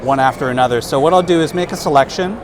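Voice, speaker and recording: A man in his thirties walks through a product demonstration at a trade-show booth, speaking casually with the odd filler. His lapel mic picks up a steady wash of hall noise around him. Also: a little high, quick pace. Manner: relaxed, explanatory, casual fillers. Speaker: product specialist demonstrating software.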